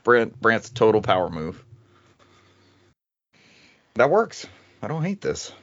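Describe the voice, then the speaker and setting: A man's voice: fairly low pitch, big pitch swings, slow, fairly bright tone, dry recording, lo-fi sound. A man hosting a gaming podcast with casual, joking banter and some swearing. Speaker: podcast host.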